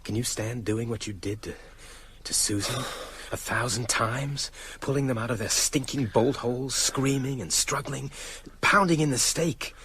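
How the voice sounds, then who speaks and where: A man's voice: mid-range voice, moderate pace, fairly bright tone, a little hiss or hum, natural voice. An actor playing an anxious, earnest man hunting vampires in an audio drama, urgent and emphatic as he reasons. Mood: bleak, pressing. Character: earnest, anxious male friend turned methodical vampire hunter.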